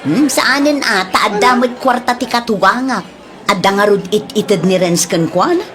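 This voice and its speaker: A woman's voice: mid-range voice, normal speed, fairly bright tone, some chatter behind, lightly acted. A woman playing a stingy, complaining daughter-in-law in an Ilocano comedy drama, with exaggerated exclamations and an Ilocano accent. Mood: defensive.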